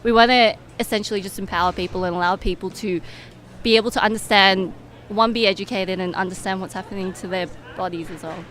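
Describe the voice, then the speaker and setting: A woman's voice: medium pitch, steady tone, fast, fairly bright tone. A young woman giving informal, explanatory answers as a guest in an interview. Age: young adult. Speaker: interview guest, medical student and competition winner. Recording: clear background noise and ambience.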